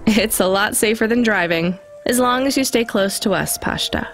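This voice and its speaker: A woman acts a caring young female driver in a game drama. She speaks naturally at a middle pitch, a little weary, over faint music. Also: normal speed, a bit bright, lightly acted. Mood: calm, fond. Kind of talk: naturalistic video game acting.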